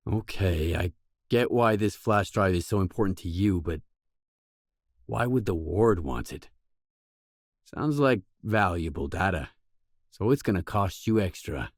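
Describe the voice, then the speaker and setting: Casting: pragmatic wasteland mercenary in a video game; lowish voice, dry close recording, restrained and matter-of-fact. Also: male voice, slightly rough, dry recording, full character voice. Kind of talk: video game character dialogue.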